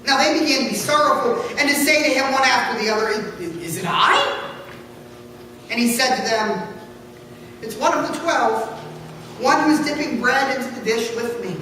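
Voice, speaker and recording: A woman reading scripture aloud in church, solemn and deliberate, with dramatic phrasing. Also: high-pitched, fairly bright tone. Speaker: scripture reader. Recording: echoey hall from far off, faint noise.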